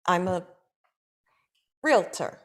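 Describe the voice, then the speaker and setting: A woman reading her lines at a script table read, dry and blunt, with quick comebacks. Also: on the low side, animated.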